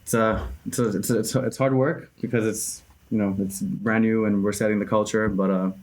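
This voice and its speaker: Quick-talking eager man in his twenties. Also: lowish voice, steady tone, darkish tone. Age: 20s. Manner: eager, many restarts and fillers. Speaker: guest, co-founder. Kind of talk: casual interview chat.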